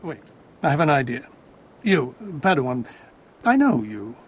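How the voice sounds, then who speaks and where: An actor playing a stern, suspicious Jedi master in a video game, formal, pompous and moralizing. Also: male voice, mid-range voice, sing-song, unhurried, a little hiss or hum. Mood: exasperated.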